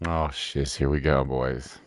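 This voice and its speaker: A man's voice: rumbling low, little pitch movement, a little slow. A man giving casual, laid-back gameplay commentary as a streamer, reacting appreciatively. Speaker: game streamer. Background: game music.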